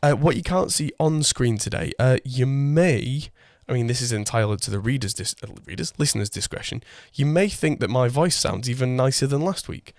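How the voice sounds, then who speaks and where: Guy hosting a podcast, bit hoarse from a cold, chatty, dry close mic. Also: medium pitch, animated, a little fast, hardly any pauses, no room sound. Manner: chatty, self-deprecating banter. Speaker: podcast host. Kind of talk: casual chat. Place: home studio. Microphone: condenser mic through an audio interface.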